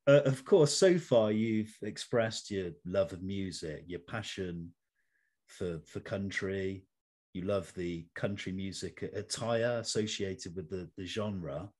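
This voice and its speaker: Guy interviewer, deepish voice, formal prepared questions, kinda wordy. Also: slow pace, darkish tone. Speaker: interview host.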